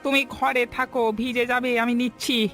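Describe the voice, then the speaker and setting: A man voicing a kind, hardworking village woodcutter husband in a Bengali cartoon story, earnest and soft-hearted. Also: very high-pitched, level tone, moderate pace, faint music behind, heavily acted. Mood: conciliatory, tired.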